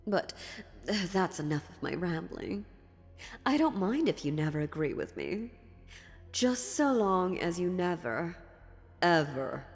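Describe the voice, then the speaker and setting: A woman playing an ancient, sly and dominant female demon in a dark fantasy audio roleplay, taunting theatrically with deliberate pauses. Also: on the low side. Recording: long reverb, music underneath.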